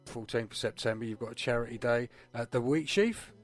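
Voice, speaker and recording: A man's voice: medium pitch, brisk, faint music behind. A man co-hosting a radio show, putting casual interview questions to a guest.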